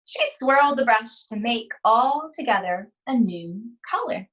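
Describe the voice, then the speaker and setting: A woman teacher reading a children's storybook aloud, with deliberate, expressive phrasing and pauses. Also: a little high, far from the mic, lo-fi sound.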